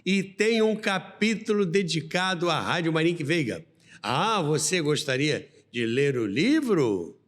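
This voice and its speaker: A man's voice: on the high side, big pitch swings, unhurried, a little hiss or hum. A man with a Brazilian accent narrating a scripted documentary as the host of a radio history show. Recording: long reverberant hall sound.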